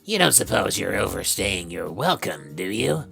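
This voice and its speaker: Girl doing cocky skeleton guy, super low n gravelly, teasing and mocking, music underneath. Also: very animated, moderate pace, fairly bright tone, heavily acted. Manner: mocking, drawling taunts. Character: cocky, teasing male skeleton troublemaker, amused and provocative. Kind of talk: fan fiction voice acting.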